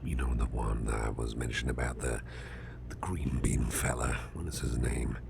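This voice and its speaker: A man playing a big, gentle, fretful businessman boss in an ASMR roleplay, murmuring haltingly in a growly, breathy half-whisper. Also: very deep voice, steady tone, very noisy, heavily acted. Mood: musing, wary. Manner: halting, murmured, soothing, with repeated phrases.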